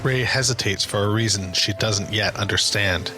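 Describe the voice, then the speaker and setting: A man's voice: fairly low pitch, moderate pace, over music. A man narrating screenplay stage directions in a dramatic scripted style.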